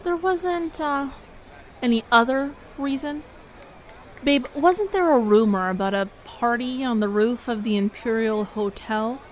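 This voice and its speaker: A woman's voice: fairly high pitch, a little slow, slight background noise, lightly acted. A woman playing a persistent, pointed radio interviewer in an audio drama. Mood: skeptical, pressing.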